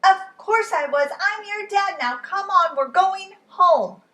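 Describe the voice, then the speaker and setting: A woman voicing a strict but loving father in a children's storybook, with emphatic, drawn-out exclamations. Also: extremely high pitch, expressive, a bit bright, reverberant, pitched above the performer's own voice, exaggerated voice. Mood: worried, reassuring. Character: strict father, exasperated, later tender and excited. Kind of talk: children's storybook read-aloud.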